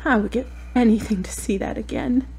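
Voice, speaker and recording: An actress playing a grieving adult daughter in an audio drama, talking tenderly and plaintively. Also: female voice, a little high, a little fast, close to an everyday voice, low-quality recording. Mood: tender, wistful. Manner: tender, plaintive, talking softly to a loved one. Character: grieving adult daughter at her mother's deathbed.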